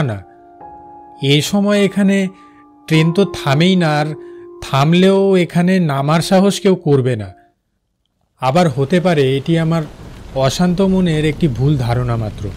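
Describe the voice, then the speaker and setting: Man as a high-voiced, uneasy night-shift storyteller. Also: darkish tone, close to an everyday voice. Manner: suspenseful, with fearful repeated phrases. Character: Shyamal, uneasy male night-shift railway worker recounting a haunting. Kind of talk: first-person dramatic horror story narration.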